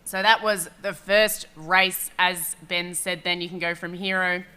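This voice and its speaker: A woman's voice: lowish voice, level tone, measured pace, bright, forceful tone, clear, resonant tone. A woman hosting a chat show about sailing, upbeat and chatty, with an Australian accent. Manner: upbeat, chatty, laughs easily. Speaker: host. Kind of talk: casual chat and race commentary.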